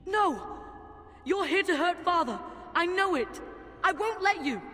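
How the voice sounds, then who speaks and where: Woman doing a scared stubborn little kid for a game, really high and all over the place, echoey. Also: animated, measured pace. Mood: angry, defiant. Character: frightened, defiant young boy searching for his father. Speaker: game character. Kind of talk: video game voice acting. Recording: long echoing reverb effect.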